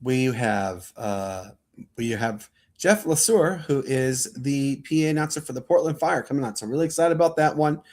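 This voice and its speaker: A man's voice: mid-range voice, lively intonation, normal speed, a little mellow. A man hosting a sports talk livestream in a casual, promotional and enthusiastic manner. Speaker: sports talk show host.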